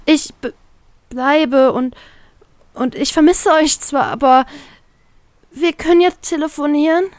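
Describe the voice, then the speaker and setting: A woman's voice: sits high, a little slow, no room sound, studio quality, pitched above the performer's own voice. A woman reader voicing a young woman who talks in a light, sweet way and stammers when shaken. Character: young woman, dreamy, cheerful and manipulated.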